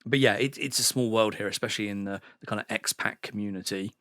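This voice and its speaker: A man's voice: fairly low pitch, quick pace, fairly bright tone, no echo, studio quality. A man from the UK, a podcast guest in a sauna house, chatting casually and rambling with many fillers and restarts. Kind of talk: casual chat.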